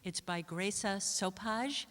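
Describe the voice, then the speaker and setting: A woman's voice: mid-range voice, slow, fairly bright tone. A woman hosting a live event, making announcements to introduce readers. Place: large indoor hall at a live event. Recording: echoey hall with long reverb.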